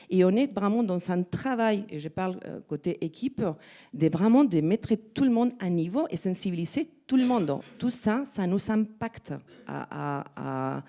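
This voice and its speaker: A woman with a non-native French accent speaking on a conference panel, halting and searching for words with frequent restarts. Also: mid-range voice, warm tone. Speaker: NGO representative on a panel. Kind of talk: spontaneous panel talk. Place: conference room.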